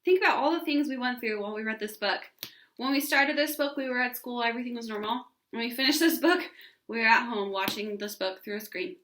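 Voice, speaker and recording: A woman's voice: fairly high pitch, a little fast, a bit bright. A woman teacher reading a children's storybook aloud and talking to her class. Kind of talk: storybook narration. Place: indoors at home. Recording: echoey room.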